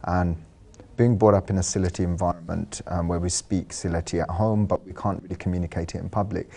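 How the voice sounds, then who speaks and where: A man's voice: sits low, fairly even intonation, brisk, gravelly, mellow, breathy edge. A man, an artist being interviewed, speaking thoughtfully and earnestly. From London. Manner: thoughtful, earnest, some restarts. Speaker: artist guest. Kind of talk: studio interview.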